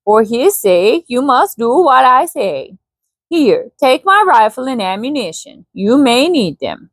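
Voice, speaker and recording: A loyal, blunt Swedish jungle guide speaks in halting broken English with a thick Swedish accent, as a woman puts him on. The voice sits fairly high and sounds close and dry. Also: big pitch swings, no echo. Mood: grim. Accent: Swedish (put on).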